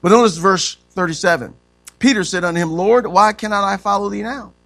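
A man's voice: high-pitched, brisk, vocal fry, a bit bright. A man, preaching a sermon as pastor, punctuating points with "amen" and rising emphatic questions. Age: 50s.

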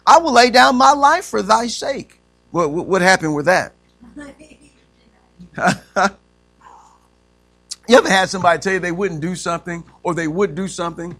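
A man's voice: sits high, expressive, a little slow, pauses often, bright, forceful tone. A man, preaching a sermon as pastor, punctuating points with "amen" and rising emphatic questions. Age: 50s.

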